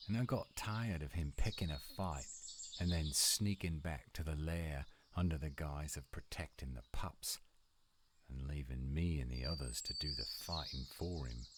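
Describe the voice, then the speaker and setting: Man voicing a very deep, flirty werewolf, loud birdsong. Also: moderate pace. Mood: disdainful, grumbling. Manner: teasing, folksy, drawling endearments. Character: gruff tsundere male werewolf, flirtatious, laid-back romantic. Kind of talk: intimate romantic roleplay audio monologue. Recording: noisy outdoor ambience with birdsong, insects. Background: birdsong and insects in woods.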